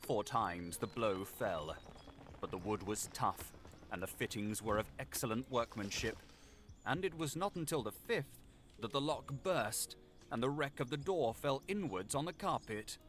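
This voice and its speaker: A man narrating a gothic audiobook in a measured, literary, dramatic delivery. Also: medium pitch, lively intonation, fairly bright tone, faint music behind.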